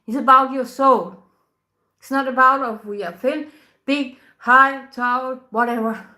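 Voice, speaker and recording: Bright-voiced woman speaking softly. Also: high voice, steady tone. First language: Danish. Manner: soft-spoken, unhurried, laughs often, non-native grammar. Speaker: tantra teacher. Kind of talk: casual spoken talk to camera.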